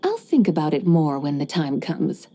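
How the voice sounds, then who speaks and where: A woman voicing a serene, graceful immortal who speaks in measured, formal phrases in a video game. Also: sits low, very animated, mellow.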